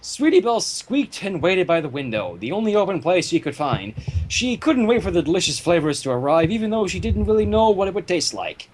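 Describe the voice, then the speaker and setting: A man reading fan fiction aloud as the narrator, in an animated storytelling style. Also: sits high, fairly quick, a little hiss or hum, poor audio.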